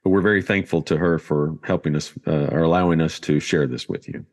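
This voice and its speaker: A man's voice: rumbling low, steady tone, fairly quick, big-room sound. A man co-hosting a podcast in a casual, folksy and encouraging chat. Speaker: podcast co-host.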